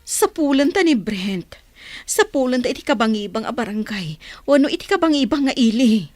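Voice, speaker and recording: A woman's voice: on the high side, sing-song, moderate pace, darkish tone, no room sound. An actress playing an anxious, insistent woman in a radio drama, urging and pleading. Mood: anxious longing. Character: anxious, insistent woman searching for a missing loved one.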